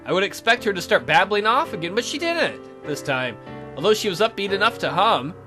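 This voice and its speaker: A man's voice: high voice, big pitch swings, brisk, over loud music. A man reading a visual novel game aloud as a let's play host, with crude, irreverent asides.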